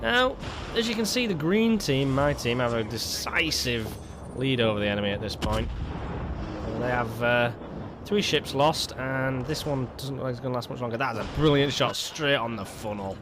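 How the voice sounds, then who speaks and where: A man's voice: medium pitch, animated, moderate pace, noisy background. A man hosting casual gameplay commentary, rambling with stumbling restarts and a lingering cough. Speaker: gaming video host.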